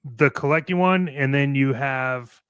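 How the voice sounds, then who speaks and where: Bright-voiced, emphatic man talking toys casually. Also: mid-range voice, measured pace, clean tone, no room sound, clean studio sound. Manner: emphatic, repeats phrases, blunt with mild swearing. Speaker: co-host of a toy collecting show. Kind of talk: casual opinionated discussion.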